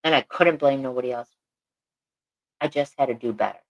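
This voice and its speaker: A woman's voice: extremely low pitch, fairly quick, dull audio. A woman co-hosting a podcast, talking earnestly and repeating phrases for emphasis. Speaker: podcast co-host. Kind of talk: casual podcast chat.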